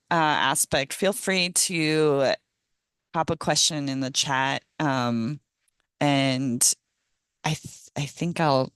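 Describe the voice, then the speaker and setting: A middle-aged woman, hoarse from a cold, giving a casual presentation on a video call with many ums and small laughs. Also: sits low, a bit bright, dry recording. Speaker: guest speaker, ecologist. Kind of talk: online meeting presentation.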